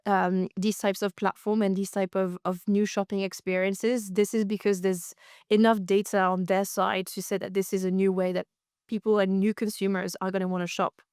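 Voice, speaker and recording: A woman's voice: mid-range voice, flat delivery, moderate pace, darkish tone, clear, resonant tone, no echo, studio quality. A woman co-hosting a podcast, discussing industry news conversationally and often rephrasing as she explains.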